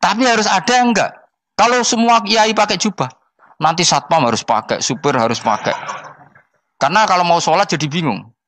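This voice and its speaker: A man's voice: high-pitched, lively intonation, a little fast, fairly bright tone, no room sound. Javanese-accented man teaching, close dry sound. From Indonesia. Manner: conversational, teasing, often repeats and checks understanding. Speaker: Islamic teacher giving a religious lecture. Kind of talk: informal sermon mixing explanation with storytelling.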